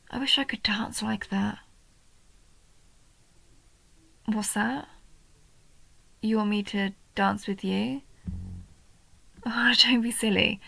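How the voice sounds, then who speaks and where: Woman acting a shy nerdy goblin girl, roleplay audio, highish voice, slow hesitant delivery, gentle breathy half-whispers, sweet. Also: no room sound, heavily acted. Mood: wistful, touched, then flustered, coy. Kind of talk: roleplay audio, soft intimate story acting.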